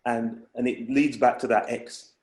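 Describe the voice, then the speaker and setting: A man's voice: mid-range voice, little pitch movement, unhurried, a little mellow, roomy sound. A man, a guest in casual conversation, reflective and rambling with restarts. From England. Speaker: guest, hip hop artist.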